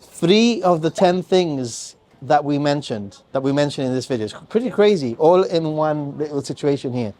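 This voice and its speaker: A man's voice: fairly high pitch, animated, moderate pace, a little mellow. A man hosting a travel vlog, chatting eagerly and tripping over his words now and then. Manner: chatty, enthusiastic, fumbles words. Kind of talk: casual travel vlog chat.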